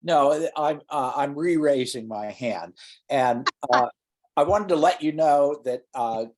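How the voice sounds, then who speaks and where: A man's voice: on the high side, takes it slowly, a little mellow. A man talking informally as a participant in an online meeting. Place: online conference call.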